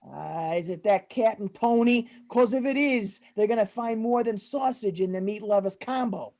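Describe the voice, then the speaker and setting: A woman's voice: mid-range voice, moderate pace, mellow, natural voice. A woman playing an irritable, bossy Italian-American male mob boss in an amateur old-time radio comedy play, talking like an exasperated tough guy. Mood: alarmed. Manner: gruff, bossy, exasperated wiseguy talk.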